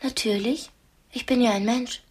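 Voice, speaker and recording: An actress playing a defiant, scornful young woman held captive, speaking bluntly with a husky voice in a radio drama. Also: on the high side, steady tone, normal speed, a little mellow. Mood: scornful, demanding. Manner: blunt, cutting.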